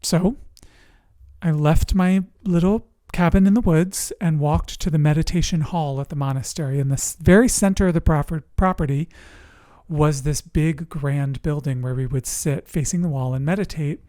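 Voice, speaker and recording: A resilience coach telling personal stories in a motivational talk, speaking earnestly and deliberately in short phrases with pauses. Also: medium pitch, dark tone, no room sound. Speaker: host, emotional resilience coach.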